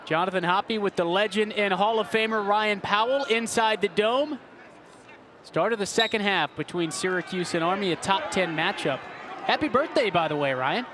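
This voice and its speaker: A man's voice: high-pitched, normal speed, background noise. A man in his twenties working as a sports broadcaster, hosting and calling play-by-play. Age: 20s.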